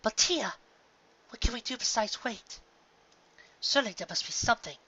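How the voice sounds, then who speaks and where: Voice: medium pitch, animated, normal speed, bright, forceful tone, slightly rough, lo-fi sound, pitched above the performer's own voice, full character voice. A reader voicing a younger pony princess, hot-tempered and anxious, who speaks with great emphasis. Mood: anxious, pleading. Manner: stammering, emphatic outbursts.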